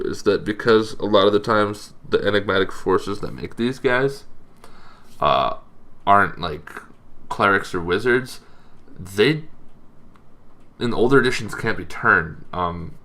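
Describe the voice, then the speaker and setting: A man with a deep voice gives an informal explainer as the host of a gaming show. His tone is creaky and gravelly. He phrases things loosely and casually, pausing often as he goes. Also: a bit bright. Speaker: host of a tabletop roleplaying lore show. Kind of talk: informal explainer on game monsters.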